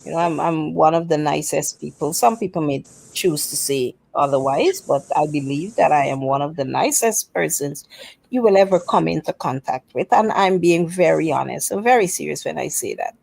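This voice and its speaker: A woman speaking as a panelist in a casual livestream chat, earnest and self-assured, listing her qualities in a measured way over an online call. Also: low voice, vocal fry, a little mellow.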